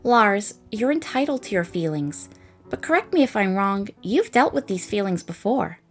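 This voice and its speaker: A woman's voice: mid-range voice, lively intonation, normal speed, faint music behind, close to an everyday voice. A woman voicing a thoughtful, encouraging young woman in a comic dub of a space adventure. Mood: gentle, encouraging.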